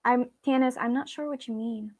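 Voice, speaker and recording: High-pitched chatty woman, native Russian speaker. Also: fairly even intonation, normal speed. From Belarus. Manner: chatty, informal, addressing viewers directly. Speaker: vlog host. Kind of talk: casual live Q&A chat.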